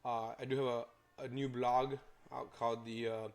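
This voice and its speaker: A hoarse young man, a realtor chatting loosely through a casual vlog walkthrough with lots of fillers. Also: mid-range voice, little pitch movement, normal speed. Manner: rambling, filler-heavy. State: hoarse from a cold.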